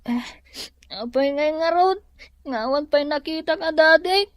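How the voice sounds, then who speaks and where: An innocent, wide-eyed small child in a radio drama. The voice is high and plaintive, speaking slowly in a dry close recording. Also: female voice, dry recording. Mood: pleading. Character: innocent, inquisitive young child of a single mother.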